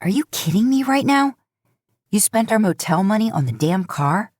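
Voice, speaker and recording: A woman's voice: medium pitch, sing-song, normal speed, a little mellow, dry recording, clean studio sound, pitched above the performer's own voice. A woman voicing an earnest, bookish young man who argues insistently. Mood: exasperated.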